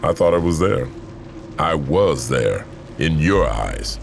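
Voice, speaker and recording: An actor playing a cold, menacing male alter ego who taunts in a slow, low, gravelly drawl, in game audio over music. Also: very animated, exaggerated voice. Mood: taunting.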